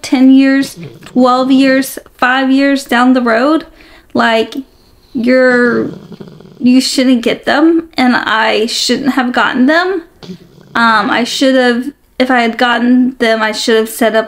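A woman in her forties, candidly sharing her own story in a reflective, open way, with many fillers, filmed in her bedroom by a camera a few feet away. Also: fairly high pitch. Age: 40s. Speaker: vlogger. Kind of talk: candid personal vlog. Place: bedroom, seated on a bed.

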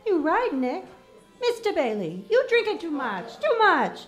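A woman's voice: very high voice, wildly swooping pitch, measured pace, soft background music, exaggerated voice. A woman playing a fussing, kindly tavern keeper's wife. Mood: worried. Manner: fussing, caring, broken English.

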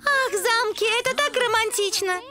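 A woman's voice: very high-pitched, normal speed, music in the background. A dreamy teen angel girl.

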